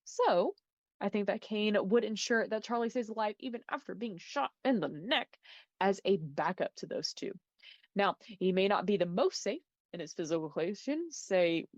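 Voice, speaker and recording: A woman's voice: mid-range voice, expressive, normal speed, a little mellow, no room sound. Theatrical woman, dry muffled recording. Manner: playful, dramatic, addresses listeners with pet names. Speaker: listener sending a voice message. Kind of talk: theatrical fan-theory monologue.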